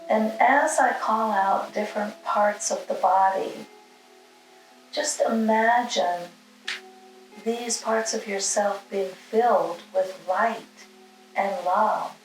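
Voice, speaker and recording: Very slow, soothing woman leading meditation. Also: mid-range voice. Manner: soothing, gentle instruction in short, spaced phrases. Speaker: guided meditation leader. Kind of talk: guided meditation, spoken slowly with long pauses. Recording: roomy, distant mic, music underneath.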